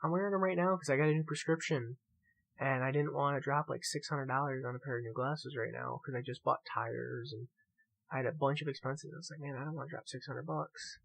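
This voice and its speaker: A male podcast co-host with a medium-pitched voice. He chats casually and jokes around, slipping into mock-serious banter and swearing freely as he goes. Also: fairly even intonation, fairly quick, vocal fry, a bit bright, poor audio. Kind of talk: casual joking podcast chat.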